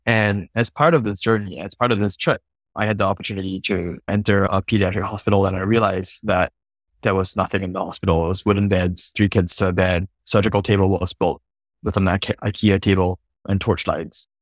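Deep measured man from Singapore. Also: steady tone, dry recording. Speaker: founder guest. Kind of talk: interview storytelling.

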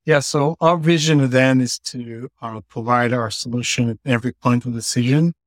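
A man's voice: mid-range voice, fairly even intonation, normal speed, no room sound, clean studio sound. A man from Israel, a company founder, explaining his work earnestly with many "uh" fillers over a remote call. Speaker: company CEO guest. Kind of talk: podcast interview.

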